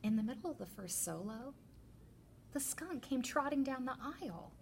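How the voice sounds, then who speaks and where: A woman reading a children's picture book aloud as a teacher librarian, with deliberate, expressive storytelling. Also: sits high, vocal fry, background noise.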